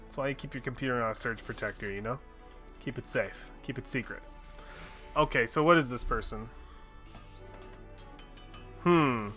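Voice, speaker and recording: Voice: mid-range voice, moderate pace, long pauses, pushed and bright, faint music behind. A streamer chatting casually while playing a game, swearing freely and laughing at their own mistakes. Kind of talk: casual gaming livestream chat.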